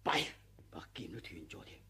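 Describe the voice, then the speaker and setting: An actor playing a greedy, ruthless older martial arts master, theatrical and raspy, muttering to himself in a radio drama. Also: male voice, a little fast, a bit bright, lightly acted. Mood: eager muttering. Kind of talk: Cantonese radio drama villain acting.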